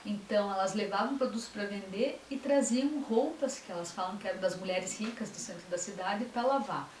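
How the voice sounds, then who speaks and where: A woman's voice: medium pitch, brisk. Woman lecturing in an echoey room. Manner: many fillers, speaks in short phrases. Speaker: author giving a talk. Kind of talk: lecture on local history. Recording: echoey room, mic from far off, faint hiss.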